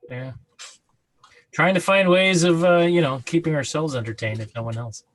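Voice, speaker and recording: A man's voice: on the high side, moderate pace. A man in casual conversation on a video call, talking loosely and repeating his phrases. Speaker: drama teacher and comedy troupe member. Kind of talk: casual chat. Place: remote group video call.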